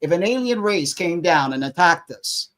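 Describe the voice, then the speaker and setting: A man's voice: fairly high pitch, lively intonation, moderate pace, fairly bright tone. A man co-hosting a casual panel debate, talking in a musing, easygoing, idealistic way.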